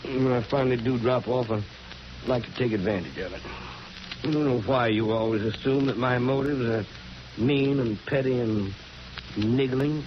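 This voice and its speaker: An actor playing a sleepy, grumbling, wordy small-town mayor and middle-aged husband in a 1940s radio drama. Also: male voice, medium pitch, normal speed, lightly acted. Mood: grumbling, dry. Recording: muffled, noisy 1940s radio recording.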